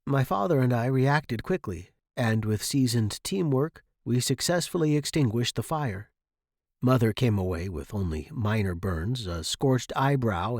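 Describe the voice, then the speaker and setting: A man's voice: mid-range voice, darkish tone, no echo, clean studio sound. A man reading a first-person short story as a scripted audiobook narration.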